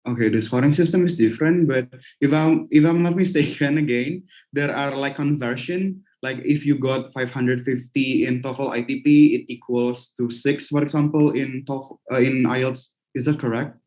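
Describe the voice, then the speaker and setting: A man's voice: medium pitch, fairly even intonation, normal speed, a little mellow. A man from Indonesia with an Indonesian accent, moderating an online webinar, asking questions and giving short acknowledgements over a video call. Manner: brief backchannels, friendly questioning.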